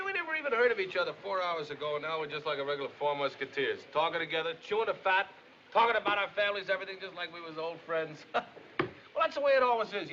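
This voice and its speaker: Brash, high-voiced American joker. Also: male voice, pushed and bright. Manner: folksy, wisecracking. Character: brash, chummy middle-aged American joker, eager and boastful. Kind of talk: 1950s film acting. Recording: muffled, noisy old film soundtrack.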